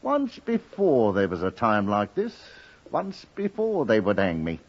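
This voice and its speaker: A doomed, remorseful thief in a vintage radio play. The voice is lowish and husky with very wide swings in pitch, on a dull old recording. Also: male voice, huge pitch swings, unhurried, heavily acted. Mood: gloomy resignation. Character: doomed male cattle thief, resigned, simple, remorseful drinker. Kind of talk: 1950s radio drama acting.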